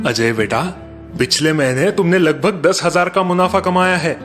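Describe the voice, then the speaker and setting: A man's voice: fairly high pitch, normal speed, fairly bright tone, faint music behind, close to an everyday voice. A man voicing a kindly older father in a cartoon story, approving and full of advice. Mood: proud, cautioning.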